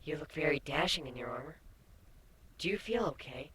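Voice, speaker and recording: A man voicing a tender young female changeling pony, newly married, in a breathy, hushed, hesitant and emotional murmur for a story reading. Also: on the high side, animated, normal speed, a little hiss or hum. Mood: tender, teasing.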